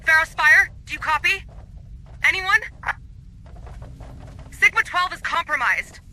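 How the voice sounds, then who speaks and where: A frantic female facility director sending a distress message in a video game. Her voice is high and piercing. She sounds urgent and pleading throughout. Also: measured pace, pushed and bright, slight background noise, full character voice, rough recording. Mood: desperate, urgent.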